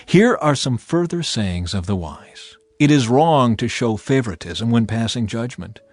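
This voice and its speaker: A man narrating a dramatized audio Bible in an expressive, measured, solemn and exhortative way. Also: mid-range voice, no echo. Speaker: scripture narrator. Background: background music.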